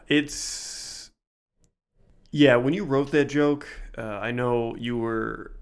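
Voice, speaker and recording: A man co-hosting a casual podcast, talking in reflective, halting phrases with wry asides. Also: mid-range voice, clear, resonant tone. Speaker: podcast co-host.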